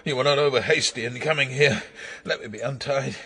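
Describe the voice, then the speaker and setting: An actor playing a cold, imperious middle-aged count in a radio drama, speaking in deliberate, clipped commands. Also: male voice, medium pitch, bright, forceful tone, husky. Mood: strained defiance.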